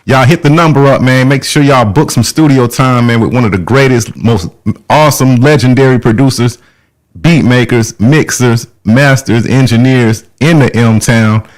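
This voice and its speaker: A man hosting a live music interview in slangy, hyped casual chat, full of shout-outs. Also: mid-range voice, moderate pace, rough recording.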